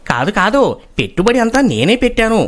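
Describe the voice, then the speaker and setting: A man acting an expressive, earnest farmer. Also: high-pitched, brisk. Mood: indignant, hurt. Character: wronged, earnest village farmer pleading his case. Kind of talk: acted moral-story dialogue.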